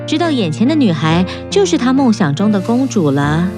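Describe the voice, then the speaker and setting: A woman narrating a children's fairy-tale cartoon in a calm, even storytelling manner. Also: medium pitch, moderate pace, over music.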